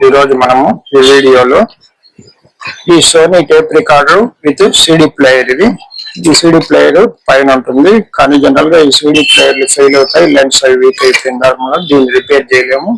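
A man gives an informal, hands-on repair explanation, haltingly and repetitively, mixing in English technical terms. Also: medium pitch, steady tone, a bit bright, rough recording. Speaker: electronics repair demonstrator. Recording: clear background noise with clicks.

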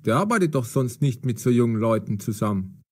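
A man playing a gruff, unfriendly, ironic raftsman with a curt manner in an audio drama. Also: mid-range voice, animated, lightly acted. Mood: surprised, wary. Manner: sardonic, curt, hesitant pauses.

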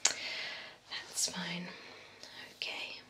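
Woman playing a slow whispering vampire beautician. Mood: attentive, gently teasing. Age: young adult. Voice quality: breathy whisper. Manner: slow, soothing, formal client care. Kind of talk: ASMR role-play, whispered personal attention. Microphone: close binaural microphone. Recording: close whisper over clear ambient noise.

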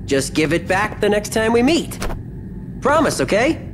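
An actor playing a friendly, earnest young warrior in a video game, eager and open. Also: male voice, sits high, big pitch swings, unhurried. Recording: game audio over ambient noise.

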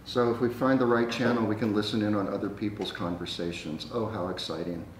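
A man's voice: on the low side, steady tone, a little fast. An older man co-hosting a casual gadget test at home, talking with dry, grumbling sarcasm. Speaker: vlog co-host. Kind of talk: casual chat while testing a gadget. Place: dining room at home. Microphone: camera microphone across the room. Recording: echoey room, distant mic, faint noise.

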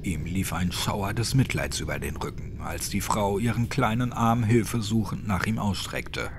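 A man's voice: lowish voice, normal speed, noisy background. A man reading scripted narration for a horror audiobook.

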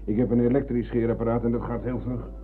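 A man's voice: mid-range voice, flat delivery, quick pace, natural voice. A man playing a pedantic, philosophising man of the house in a radio drama, with a wordy, declamatory manner. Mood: casual. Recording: muffled phone-like old radio, humming noise.

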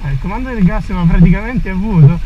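A high-voiced young man talks chattily and pokes fun at himself while riding. His voice sounds muffled and a little distant through a helmet camera, with heavy road and engine noise underneath. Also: expressive, quick pace. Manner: chatty, self-mocking. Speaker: motovlogger. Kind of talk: casual motovlog commentary. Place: on a motorcycle outdoors on city streets.

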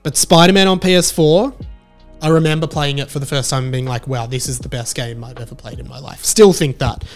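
A man in his thirties vlogging about video games in a casual, animated, emphatic way into a handheld microphone. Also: a little high, brisk. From Australia. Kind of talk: casual enthusiastic talk to camera. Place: small room at home, indoors. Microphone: handheld microphone close to the mouth. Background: background music.

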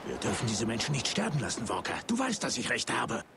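A man's voice: medium pitch, wildly swooping pitch, quick pace, creaky, fairly bright tone, slight background noise, heavily acted. A man playing a principled soldier in a video game, giving terse orders and urging protection of civilians. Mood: urgent, pleading. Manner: terse military commands. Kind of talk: video game voice acting, German dub.

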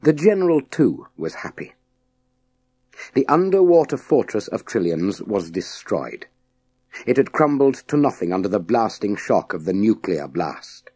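A man narrating a children's science fiction audiobook in a measured, dramatic storytelling manner with deliberate pauses. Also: on the low side, very animated, mellow, breathy edge, dry recording.

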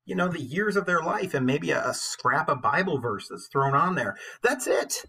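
A man's voice: a little high, expressive, moderate pace, vocal fry, bright, forceful tone, a little hiss or hum. A man in his forties, an English teacher, giving an informal literature lecture in an earnest, conversational, emphatic way.

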